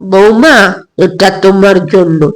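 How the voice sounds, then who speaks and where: A woman voicing an elderly, affectionate grandmother-in-law in an audio story, speaking in a measured, earnest way. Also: mid-range voice, lightly acted. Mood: pleased.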